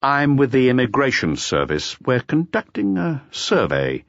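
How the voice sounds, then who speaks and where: A man voicing a polite, calmly official male detective who probes with questions in an audiobook. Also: medium pitch, a little slow, vocal fry, no echo, lo-fi sound, voice pushed up, exaggerated voice. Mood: formal, probing.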